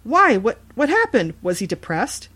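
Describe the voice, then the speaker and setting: A woman's voice: a little high, very animated, moderate pace, pushed and bright, husky, pitched above the performer's own voice, full character voice. A woman voicing an anxious young tenant in a scripted audio horror story. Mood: alarmed, questioning.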